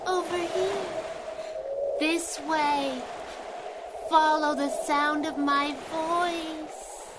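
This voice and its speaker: A woman acting a ghostly lost female calling out in a radio drama, with eerie drawn-out cries. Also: high voice, steady tone, very noisy. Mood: eerie, beckoning.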